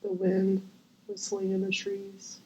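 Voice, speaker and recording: A woman guiding a visualization as host, gentle and unhurried with long pauses, her voice breathy and often near a whisper. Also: mid-range voice, monotone, lo-fi sound, slight background noise. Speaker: guided meditation host. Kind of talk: guided visualization, meditation.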